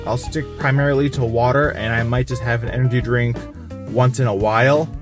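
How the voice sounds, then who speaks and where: Young male vlogger, mid-pitched, relaxed diet diary with faint music underneath. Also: a little fast. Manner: candid, self-reporting how he feels. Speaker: fitness vlogger. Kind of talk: casual diet vlog narration.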